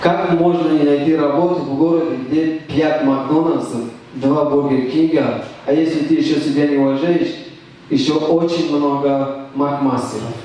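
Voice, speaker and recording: A young man from Tajikistan, performing deadpan stand-up with hesitant restarts. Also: on the high side, recorded from a distance. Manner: deadpan, halting with restarts and fillers. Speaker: stand-up comedian. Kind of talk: stand-up comedy set. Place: stage in a club. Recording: echoey room recorded from afar, faint noise.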